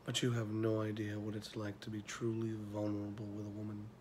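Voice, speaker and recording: A weary older mentor, acted by a man speaks in a low, flat-contoured voice. He is tender but confronting, pausing often and letting phrases sink toward a hush, as in a film drama, with faint noise behind. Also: close to an everyday voice. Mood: tender, aching. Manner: measured, pausing, drops to near whisper. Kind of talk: slow dramatic film monologue audition.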